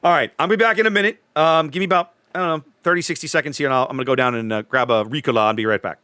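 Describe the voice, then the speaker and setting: A man co-casting a livestreamed game tournament from home, loud and easygoing in casual chat, his voice starting to tire. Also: on the high side, racing, dry recording. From Texas. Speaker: tournament co-caster and streamer. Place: home streaming setup.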